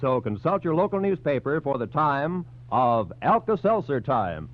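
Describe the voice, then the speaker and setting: A man's voice: a little high, sing-song, moderate pace. A man with an American accent doing polished, formal scripted announcing in the style of 1940s radio. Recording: dull 1940s radio recording with music. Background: music.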